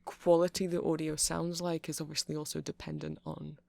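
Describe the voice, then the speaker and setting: A man playing a nerdy, friendly vampire shopkeeper in an ASMR roleplay, soft-spoken and gravelly, rambling hesitantly as he explains tech. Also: a little high, little pitch movement, brisk, no room sound. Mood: earnest, explanatory. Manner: rambling, hesitant, self-conscious geeky explaining.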